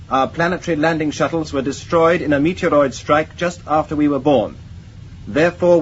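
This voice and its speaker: Commanding starship captain, slightly high male voice acted. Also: close to an everyday voice. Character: forceful young male starship commander, authoritative, impatient. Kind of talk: 1980s British radio science fiction acting. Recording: dull, faintly noisy old radio recording.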